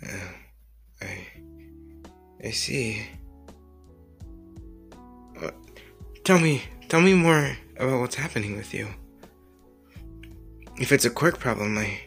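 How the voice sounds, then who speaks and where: Anime ASMR roleplay: shy, kind teenage hero boy, played by a man, unhurried, stammering and apologetic, music underneath. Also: medium pitch, gravelly, bright, forceful tone, full character voice. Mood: hesitant, concerned. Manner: hesitant, halting, apologetic, with stammers and pauses.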